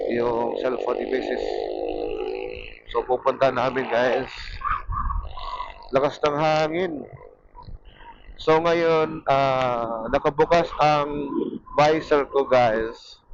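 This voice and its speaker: A man with a Filipino accent narrating a casual motorcycle vlog to viewers, thinking aloud in a halting way as he rides. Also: mid-range voice, vocal fry. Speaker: motorcycle vlogger. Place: outdoors, riding a motorcycle. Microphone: small mic near the mouth inside helmet. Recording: muffled helmet mic with wind noise.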